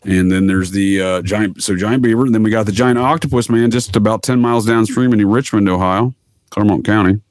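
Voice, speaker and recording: A man's voice: on the low side, a little fast, no echo, studio quality. A man talking casually as a podcast guest, telling stories in a rambling, mock-formal way. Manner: rambling, mock-formal, addresses listener as sir. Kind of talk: casual conversational storytelling.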